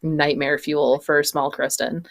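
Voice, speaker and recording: A woman's voice: deep voice, flat delivery, slow pace, over a noisy crowd. A woman, around her thirties, chatting casually as a podcast panelist, emphatic and sweary. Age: millennial, around 30s. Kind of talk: casual chat.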